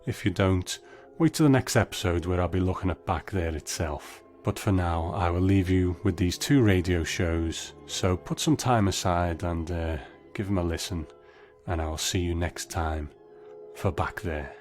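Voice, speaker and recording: Deep voiced guy doing solo podcast chat, measured and reflective, soft music under it. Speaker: podcast host. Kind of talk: conversational solo podcast commentary. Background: faint music.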